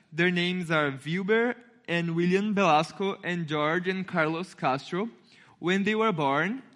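A young man from Brazil with a Brazilian Portuguese accent preaches to a congregation in a friendly, storytelling way. His voice sits a little high and sounds bright and forward. He acts out the voices of the people in his story as he goes. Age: 20s. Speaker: intern and youth speaker. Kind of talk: sermon with storytelling.

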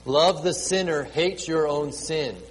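A man in his late thirties preaching a sermon to a congregation with children, halting and repeating words, with many ums. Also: fairly high pitch. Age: 30s. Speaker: pastor. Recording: reverberant hall, faint ambient noise.

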